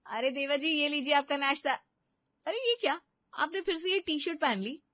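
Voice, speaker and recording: Female narrator as caring young housewife sister-in-law: cheerful, teasing, raised pitch, family audio story. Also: moderate pace, no echo, lo-fi sound, natural voice. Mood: chirpy.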